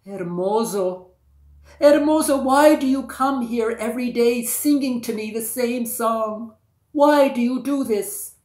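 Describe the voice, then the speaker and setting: A woman storyteller voicing a lonely young folktale princess who cries out plaintively in drawn-out, repeated phrases. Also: fairly high pitch, darkish tone, voice pushed up. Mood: plaintive questioning. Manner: crying out, drawn-out, repetitive. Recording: echoey, roomy sound.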